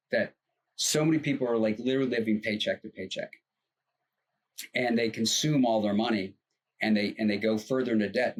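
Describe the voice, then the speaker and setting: A man's voice: fairly low pitch, husky. A man co-hosting a podcast, talking earnestly and at length about money, with restarts and fillers. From United States. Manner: rambling, earnest, explains at length. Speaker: co-host, financial advisor. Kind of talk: casual podcast chat.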